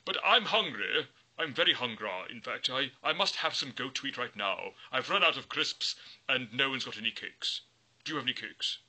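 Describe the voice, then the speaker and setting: A man voicing a greedy, bored, hungry male troll who whines in a high, loud voice. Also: animated, moderate pace, poor audio.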